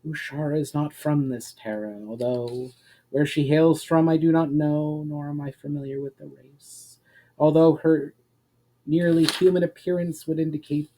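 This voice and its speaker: A man voicing a wise, mysterious woman guide in a fantasy story, explaining in a formal, measured manner. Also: fairly high pitch, steady tone, mellow, natural voice, low-quality recording. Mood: grave, patient. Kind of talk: fantasy audiobook dialogue.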